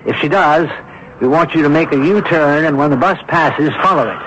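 An actor playing a confident, wry amateur sleuth who takes charge in a radio mystery. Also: male voice, a little high, a bit bright, a little hiss or hum, close to an everyday voice. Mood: brisk, directing. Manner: brisk, directing, wry. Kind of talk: radio mystery drama acting. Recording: dull old radio broadcast.

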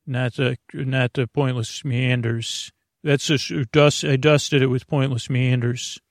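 A man hosting a bedtime podcast in a rambling, halting monologue, over-explaining and trailing off with a creaky, gravelly voice. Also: medium pitch, level tone, no room sound, studio quality. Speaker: podcast host.

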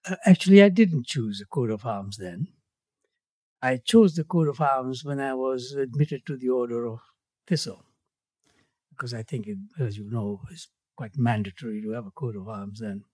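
A man in his eighties from Tanzania, a guest in an interview, speaking in a measured way with his voice falling away at the ends of phrases. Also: mid-range voice, warm tone, no echo. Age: 80s. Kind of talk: reflective interview conversation.

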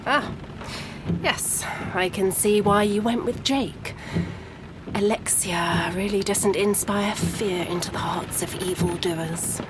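Slow-spoken, sardonic noir wife, played by an actress. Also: female voice, medium pitch, noisy background, natural voice. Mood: dry sardonic. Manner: sardonic, scornful, measured. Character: grieving, sharp-tongued noir wife of a missing man. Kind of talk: scripted gothic noir audio drama acting.

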